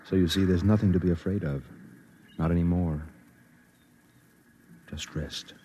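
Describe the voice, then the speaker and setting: A man's voice: low voice, fairly even intonation, a little slow, warm tone, slight background noise. An American actor playing a lonely, sensitive reporter in his thirties, narrating an old radio drama in a brooding, confessional way. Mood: tender. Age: 30s. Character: lonely, sensitive thirty-one-year-old newspaper reporter and secret poet. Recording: vintage radio broadcast with music.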